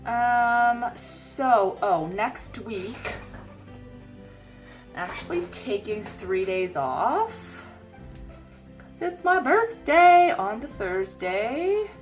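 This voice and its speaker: A woman's voice: a little high, expressive, drawn-out words, pauses often, faint music behind. A woman in her thirties chatting casually about her planner, sniffly from a cold and rambling with lots of fillers. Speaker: planner vlogger. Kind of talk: casual chat while planning. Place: home desk by a bright window.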